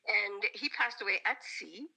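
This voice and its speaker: Lady, 60s, sick with a cold, raspy gravelly voice, super bright tone, chatty. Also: medium pitch, normal speed, rough recording. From Atlantic Canada. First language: French. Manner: chatty, laughs often, expressive. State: hoarse from a bad cold. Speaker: singer guest. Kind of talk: casual interview chat.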